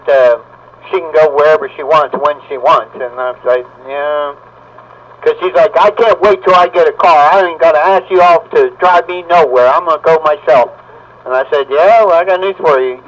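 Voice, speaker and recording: A middle-aged man, chatting bluntly and casually in folksy words over CB radio. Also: on the high side. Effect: CB radio transmission. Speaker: amateur CB radio operator. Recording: muffled lo-fi CB radio signal.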